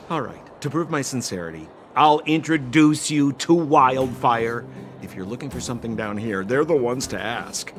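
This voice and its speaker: A man as a theatrical, lively smooth-talking rogue. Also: medium pitch, a little slow, close to an everyday voice. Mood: placating. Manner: flowery, theatrical politeness, wheedling. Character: roguish, smooth-talking male con man guide, evasive and ingratiating. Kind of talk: video game voice acting. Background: game music.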